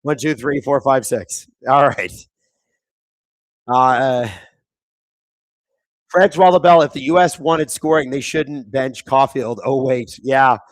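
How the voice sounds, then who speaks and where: A man with a thin, raspy, hoarse voice hosts a live hockey watchalong, chatting casually, reading viewer comments and calling plays excitedly. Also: medium pitch, slow, pauses often, no echo. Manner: casual, reads viewer chat aloud; excitable play-by-play on big moments. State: hoarse, voice squeaking. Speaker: watchalong host. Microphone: streaming microphone.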